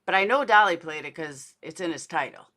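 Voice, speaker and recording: A woman's voice: sits low, animated, fairly quick, pushed and bright. A woman giving casual livestream commentary in a blunt, indignant, sweary manner, as host of a true crime show.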